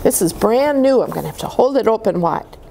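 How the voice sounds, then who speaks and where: A woman reads a picture book to young children in a slightly high, very lively voice. She phrases each line expressively and deliberately, breaking off for chatty asides. A little background noise sits behind her. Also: gravelly. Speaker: children's story-time reader at a church.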